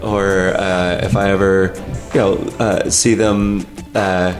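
A man playing an eager, obedient podcast guest who speaks earnestly and haltingly, repeating himself, in improvised comedy. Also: deep voice, level tone, faint music behind. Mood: anxiously upbeat.

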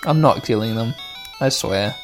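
A man in his thirties with a slightly hoarse voice, hosting a let's play with rambling, sweary, mock-dramatic gameplay commentary. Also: mid-range voice, normal speed. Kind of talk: casual gameplay commentary with nostalgic asides. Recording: music playing behind the voice. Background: music.

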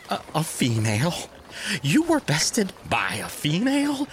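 An actor playing a mocking, scheming demon sidekick in an audio drama, theatrical and taunting. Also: male voice, sits high, huge pitch swings, takes it slowly, a little hiss or hum, full character voice. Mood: mocking, incredulous. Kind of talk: animated audio drama acting.

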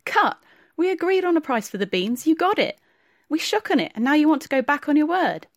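Proud, brawling village blacksmith, put on by a woman reader, shouting high. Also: big pitch swings, fairly quick, no room sound, studio quality. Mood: defiant shouting. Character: strong proud male village blacksmith, angry.

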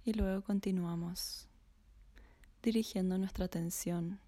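A woman slowly guiding a body scan meditation in a soft, half-whispered voice. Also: on the low side, steady tone, no room sound. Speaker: meditation guide.

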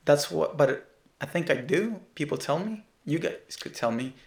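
A man's voice: on the high side, animated, moderate pace, creaky, roomy sound. A man in his thirties from Russia with a Russian accent, co-hosting a casual podcast and rambling and teasing with lots of restarts. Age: 30s. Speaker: podcast co-host.

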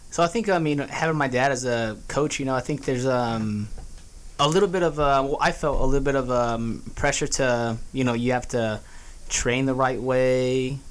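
A man's voice: medium pitch, moderate pace. A young man from Houston giving casual, somewhat hesitant answers as an interview guest. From Houston, Texas. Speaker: youth soccer coach, podcast guest.

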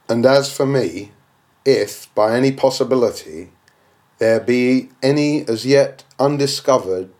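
A man's voice: mid-range voice, darkish tone. A man reading a classic novel aloud as dramatic narration, in a declamatory, oratorical manner. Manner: declamatory, oratorical, with rhetorical questions. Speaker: audiobook reader.

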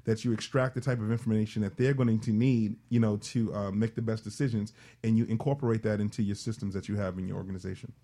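Male panelist, explanatory and businesslike, rapid delivery, soft-edged mellow tone. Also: on the low side, fairly even intonation. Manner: explanatory, uses business jargon. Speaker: panel guest advising on nonprofit management. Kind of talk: conversational panel discussion.

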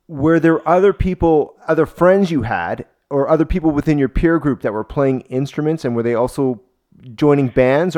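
A man's voice: on the high side, darkish tone. A man in his late forties with a Canadian accent, interviewing a guest casually as a podcast host. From Toronto, Canada.

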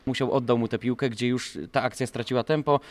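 A man's voice: medium pitch, fairly even intonation, a little fast, dry recording. A man hosting a sports discussion, building up his questions in an emphatic, wordy way. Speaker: sports podcast host, interviewer. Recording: clearly noisy background ambience.

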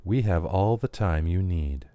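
A man voicing an inhuman company representative, a menacing and gracious bargainer who speaks in slow, insinuating sales patter in an audio story. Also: deep voice, lively intonation, dark tone, no echo. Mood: smooth, coaxing. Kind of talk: dramatic audio fiction reading.

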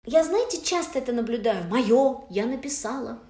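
A woman hosting a casual chat, animated and laughing often. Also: fairly high pitch, moderate pace, reverberant. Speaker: host.

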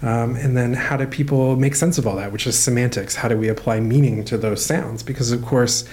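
A man speaking as a university professor, answering interview questions in a relaxed, thoughtful way with frequent pauses and fillers. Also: medium pitch. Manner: thoughtful, many "um" and "uh" while explaining.

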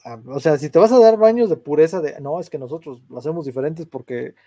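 High-voiced ranting Mexican man. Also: fast talker, mellow, dry recording, studio quality. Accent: Mexican Spanish. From Mexico, San Luis Potosí. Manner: slangy, ranting, swears freely. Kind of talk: casual chat, film rant.

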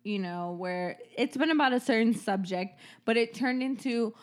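A woman co-hosting a podcast, chatting casually, full of "like" fillers and quick to laugh. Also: on the high side. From United States. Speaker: podcast co-host. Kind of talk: casual conversation.

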